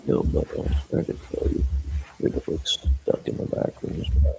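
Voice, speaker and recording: A man's voice: normal speed, a little mellow, low-quality recording. A man hosting a reaction video, commenting casually in slangy, joking asides to the person on screen. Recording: faint background noise.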